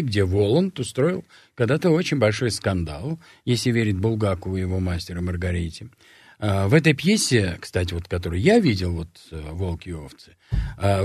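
A man's voice: on the low side, slightly rough, dry recording, studio quality. A male talk show host asking long, admiring questions of his guest. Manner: lively, praising, asks long meandering questions. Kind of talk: TV interview.

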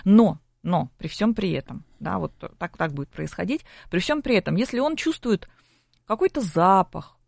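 A woman's voice: on the low side, expressive, moderate pace, creaky, a little mellow, no room sound, clean studio sound. A middle-aged woman explaining things as an expert guest in an interview, in a didactic way with rhetorical questions. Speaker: psychology expert guest on a broadcast.

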